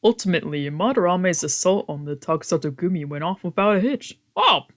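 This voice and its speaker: A casual game streamer with a mid-pitched voice. They read story text aloud and break off into loose, sweary commentary and exclaimed reactions. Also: lively intonation, a little fast. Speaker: streamer doing a let's play.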